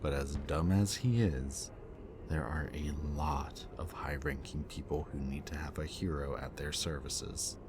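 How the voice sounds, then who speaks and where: A man playing a bitter, remorseful villain in an audio roleplay, confessing in a low, intimate voice that sometimes drops to a whisper. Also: unhurried. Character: remorseful bitter male villain, young adult. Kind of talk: audio roleplay addressed to the listener. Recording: steady ambient background noise.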